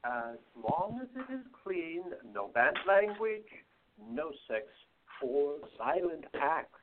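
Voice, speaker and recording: A man playing a sharp-minded, prim older radio station manager in a 1920s radio play. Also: fairly high pitch, very animated, slow. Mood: stern, businesslike. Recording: noisy, tinny phone-like line.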